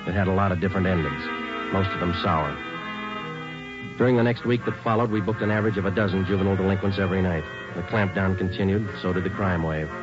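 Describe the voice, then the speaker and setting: Businesslike police sergeant, played by a man, deep and deadpan, 1940s radio narration, dull recording with music behind. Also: fast, natural voice. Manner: clipped, deadpan. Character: hard-boiled, businesslike male police sergeant narrating cases.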